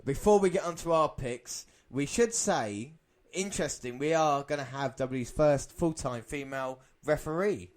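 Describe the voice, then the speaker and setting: A man's voice: fairly high pitch, moderate pace. A man co-hosting a podcast, chatting casually about sport. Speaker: podcast co-host.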